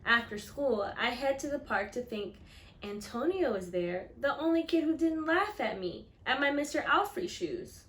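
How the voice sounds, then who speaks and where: A woman reading a children's picture book aloud expressively, acting out the dialogue and pausing to put questions to listeners. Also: high voice, animated, fairly bright tone, echoey room. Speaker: read-aloud storyteller.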